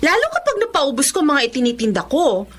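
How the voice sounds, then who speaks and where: An actress playing a plucky, defensive young online seller in a radio drama, with a Filipino accent and expressive delivery. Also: female voice, high voice, fairly quick, slight background noise. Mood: cheeky, challenging. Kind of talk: radio drama acting with first-person narration.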